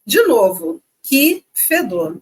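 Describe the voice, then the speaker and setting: A woman's voice: on the high side, sing-song, slow pace, slightly rough. A woman co-hosting a casual educational chat, reading facts and adding playful asides. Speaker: co-host.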